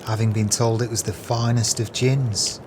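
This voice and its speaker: An actor playing a gleeful, crooked swindler with a sly, florid, falsely friendly manner in an audio play. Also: male voice, lowish voice, flat delivery, normal speed, noisy background, natural voice. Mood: sly scheming.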